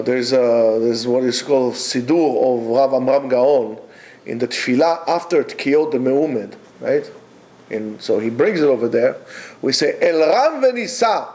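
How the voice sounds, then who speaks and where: A man giving a Torah lecture to yeshiva students, emphatic and exhorting, with many pauses. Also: medium pitch. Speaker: yeshiva teacher.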